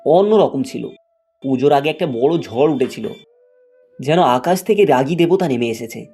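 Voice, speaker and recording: A man's voice: mid-range voice, expressive, normal speed, dark tone, husky, soft background music. A man reading a scripted fairy tale as its storyteller. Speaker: storyteller, narrator.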